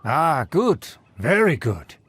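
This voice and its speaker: Pompous, drawling aristocrat. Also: male voice, fairly high pitch, big pitch swings, takes it slowly, a bit bright, breathy edge, no echo, exaggerated voice. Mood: condescending approval. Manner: pompous, formal, archaic wording. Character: haughty, pompous male aristocrat, grandiose and condescending. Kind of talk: theatrical video game voice acting.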